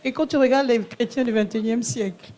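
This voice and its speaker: A man's voice: extremely high pitch, steady tone, fast talker, a little mellow. A man interpreting a sermon live in a church, echoing each phrase right after the preacher in French or English.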